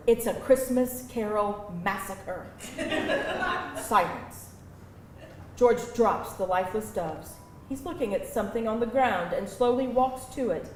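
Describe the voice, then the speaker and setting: High-voiced theatrical woman reading stage directions. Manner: theatrical, expressive. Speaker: screenplay narrator at a live table read. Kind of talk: dramatic scripted narration of stage directions. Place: live event room with an audience. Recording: echoey live room, distant mic, music, audience. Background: music and audience noise.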